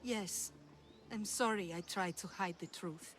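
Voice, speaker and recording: A woman's voice: medium pitch, measured pace, fairly bright tone, slight background noise, close to an everyday voice. A woman playing an earnest, troubled townswoman in a video game, with an emotional delivery. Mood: contrite, pleading. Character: earnest, troubled Greek townswoman pleading for help. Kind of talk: video game cutscene acting.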